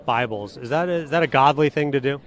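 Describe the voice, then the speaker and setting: A man's voice: medium pitch, fast talker. A young man doing a probing street interview outdoors, questioning and persistent. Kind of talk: probing vox-pop interview. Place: outdoors in a street by a rally queue. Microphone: handheld microphone.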